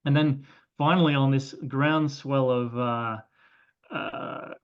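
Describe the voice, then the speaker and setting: A man's voice: mid-range voice, steady tone, slow pace, smooth, lo-fi sound. A man with an Australian accent hosting an interview in a conversational way. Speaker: interviewer, host.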